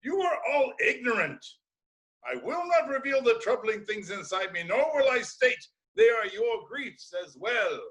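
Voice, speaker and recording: A man's voice: extremely high pitch, lively intonation, a little slow, fairly bright tone, heavily acted, rough recording. A man playing a bitter, defiant old blind prophet in a Greek tragedy reading, with declamatory, ominous delivery. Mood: stubborn, indignant.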